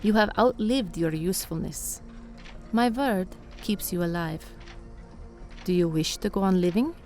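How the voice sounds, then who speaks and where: Woman acting a low-voiced, imperious vampire crime boss. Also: measured pace, mellow, faint music behind, natural voice. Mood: cold menace. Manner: formal, imperious, menacing. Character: cold, commanding female vampire crime boss. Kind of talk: radio play acting.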